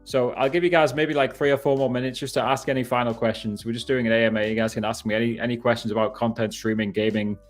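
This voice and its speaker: A man's voice: mid-range voice, flat delivery, fast talker, few pauses, soft background music. A man in his thirties with a northeast English accent, hosting a casual live question-and-answer stream and rambling with frequent laughs and self-corrections. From northeast England. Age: 30s. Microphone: headphones on.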